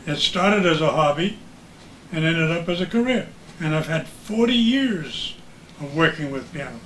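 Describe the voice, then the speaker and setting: A man's voice: fairly high pitch, animated, moderate pace, fairly bright tone. An older American man, a former radio announcer, reminiscing in casual chat as a guest. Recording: echoey room with background noise.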